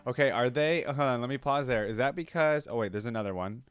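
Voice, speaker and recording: A man's voice: medium pitch, fast talker, clear, resonant tone, soft background music. A man hosting a reaction video in a casual, curious way, thinking aloud as he reads and comments.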